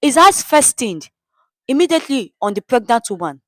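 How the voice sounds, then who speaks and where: A woman's voice: a little high, sing-song, normal speed, a bit bright, no room sound, studio quality. A woman with a Nigerian accent narrating a scripted story in a dramatic storytelling style.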